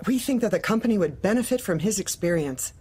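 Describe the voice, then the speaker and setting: A woman's voice: mid-range voice, animated, fast talker, darkish tone, husky. A woman playing a manipulative, officious corporate manager in a darkly comic audio drama, speaking with bland politeness and veiled menace. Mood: falsely sympathetic. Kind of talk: audio drama acting, dark comedy.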